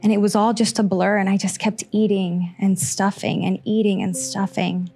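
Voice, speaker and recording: A woman in her thirties from Costa Rica, telling a personal story on stage with slow, earnest pauses into a handheld mic. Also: mid-range voice, level tone, warm tone, faint music behind. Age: 30s. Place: small stage in front of seated audience.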